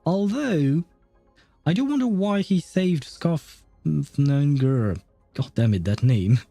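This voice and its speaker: A man's voice: a little high, lively intonation, takes it slowly, gravelly, warm tone, dry recording, faint music behind. A man hosting a game livestream, giving casual, rambling commentary as he thinks aloud. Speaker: livestream host.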